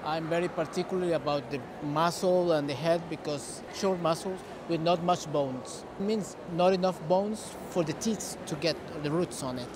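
A man's voice: a little high, moderate pace, few pauses, background noise. A middle-aged man with a Costa Rican Spanish accent, a native Spanish speaker, giving conversational interview answers as a dog breeder. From Costa Rica. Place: large busy indoor dog show hall. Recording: busy echoing show hall din. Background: hall ambience and bustle.

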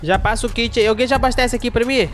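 High-pitched, fast-talking young Brazilian man. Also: a bit bright. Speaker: streamer playing an online roleplay game. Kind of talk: casual gaming chat. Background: music and car engine sounds.

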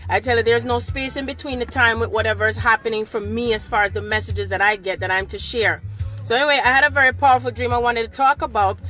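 Middle-aged woman, slightly high voice, a bit nasal from a cold, fervent and repetitive, phone at arm's length in a car, faint music behind. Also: steady tone, quick pace, bright, forceful tone. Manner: fervent, repeats affirmations like "that's right". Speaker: spiritual livestreamer. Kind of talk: impromptu live video chat. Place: inside a parked car at night. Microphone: phone held at arm's length.

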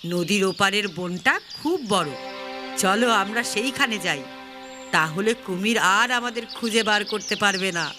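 A woman's voice: mid-range voice, measured pace, lightly acted. A woman voicing a clever, sly male fox in a children's story, coaxing and mock-innocent, over music. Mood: smooth assurance.

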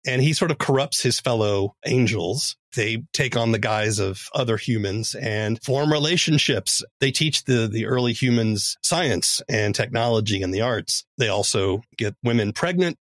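A man's voice: medium pitch, no echo. A man speaking as a podcast host, explaining a story in a conversational way. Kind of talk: podcast commentary summarizing a story.

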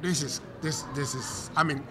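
A man's voice: a little high, steady tone, normal speed, a crowd in the background. A middle-aged man from Cameroon with a French accent, a coach talking in a casual interview, often repeating words as he searches for English. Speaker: MMA coach. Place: hotel room, indoors. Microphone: desk mic on stand.

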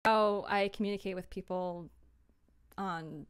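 A young woman hosts a casual livestream from a small room at home. Her voice sits at an average pitch with a clean, smooth tone. She talks in a chatty, rambling way, speaking informally to her viewers. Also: steady tone, measured pace. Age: young adult. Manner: chatty, rambling, giggly, apologetic. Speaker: livestream host, audio drama creator.

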